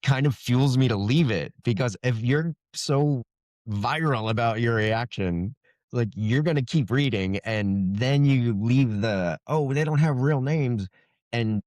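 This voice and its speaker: A man's voice: mid-range voice, measured pace, darkish tone, no echo. A man talking casually as an interviewed author, explaining things in a wry, good-humored way. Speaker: fantasy author being interviewed.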